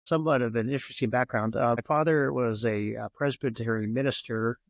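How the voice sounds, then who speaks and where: A man in his sixties, born in Japan and raised in the US, talking as a podcast guest in a measured way with many pauses. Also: mid-range voice, vocal fry, dry recording. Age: 60s. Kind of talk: relaxed podcast interview.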